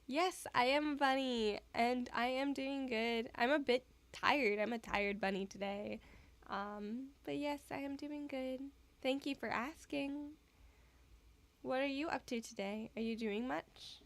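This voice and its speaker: Slow, giggly woman streamer. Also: sits high, no room sound. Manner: giggly, rambling, soft-spoken. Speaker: live streamer. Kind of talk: casual stream chat with viewers.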